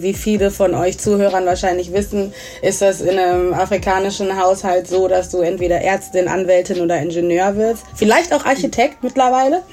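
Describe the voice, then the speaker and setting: A woman's voice: medium pitch, a little mellow. A thirty-year-old woman from Germany chatting casually as an interview guest, mixing English words into her talk. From Germany, grew up in Essen.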